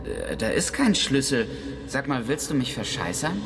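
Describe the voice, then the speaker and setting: Radio drama lead: a sceptical, irritable adult, very low-pitched but lively, often confused. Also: female voice, noisy background. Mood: exasperated. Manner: sceptical, sweary, halting when confused. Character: irritable, baffled grown-up driver nicknamed Ken. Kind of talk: German radio drama acting.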